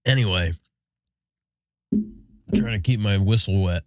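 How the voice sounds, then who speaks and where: Low-pitched congested American man. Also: unhurried. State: congested with a sinus infection. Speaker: podcast co-host. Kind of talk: casual shop-talk podcast chat.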